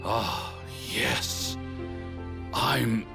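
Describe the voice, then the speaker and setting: An actor playing a weary, confused immortal warrior near death in a video game, halting and dazed. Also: male voice, medium pitch, gravelly, exaggerated voice. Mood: anguished, weary. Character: weary, confused male demon-slaying warrior, dying and losing sanity. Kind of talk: dramatic video game acting. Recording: loud music behind.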